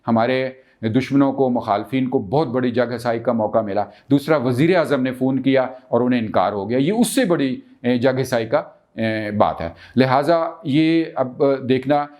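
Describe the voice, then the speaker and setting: A man with a Pakistani accent speaks to viewers as a political analyst and show host, deliberately and with frequent drawn-out hesitations. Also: medium pitch, darkish tone. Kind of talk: political commentary and storytelling to viewers.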